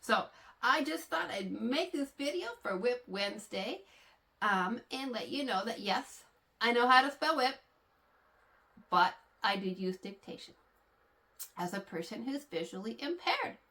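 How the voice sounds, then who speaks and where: A woman's voice: on the high side, expressive, unhurried, pushed and bright. A woman knitting vlogger talking casually to her viewers, explaining things patiently with frequent fillers and a slightly congested sound. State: somewhat congested from allergies. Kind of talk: casual talk to camera.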